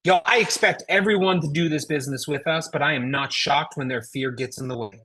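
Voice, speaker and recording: Woman, American accent, bright forceful voice, husky edge, emphatic sales trainer, folksy motivational delivery. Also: low-pitched, fairly quick. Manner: emphatic, rhetorical questions, folksy address. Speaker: network marketing trainer. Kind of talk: motivational sales coaching talk.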